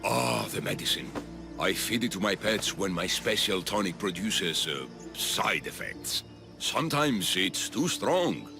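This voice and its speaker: An actor playing an eccentric, obsessive doctor in a video game, fussy and jittery, doting and often repeating himself. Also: male voice, deep voice, sing-song, measured pace, gravelly, over music, heavily acted. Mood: uneasy, guilty. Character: eccentric obsessive male doctor doting on his human pets. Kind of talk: video game character acting.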